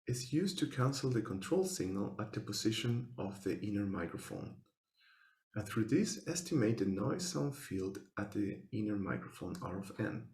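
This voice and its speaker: A man giving a scripted technical presentation as a researcher, speaking formally and in measured, step-by-step phrases. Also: on the low side, a little mellow, reverberant.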